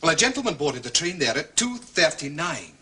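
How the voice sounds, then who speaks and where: An actor playing a methodical, proud Scottish police inspector in a period detective drama, speaking precisely and courteously with a Scottish accent. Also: male voice, fairly high pitch, very animated, a little fast, sharp tone, a little hiss or hum. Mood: quietly confident.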